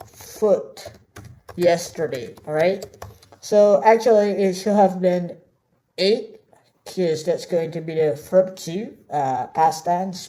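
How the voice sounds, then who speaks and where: A woman's voice: on the low side, mellow. A woman whose first language is Indonesian, teaching an online course over a screen share, casual with many fillers and check-ins to her viewers.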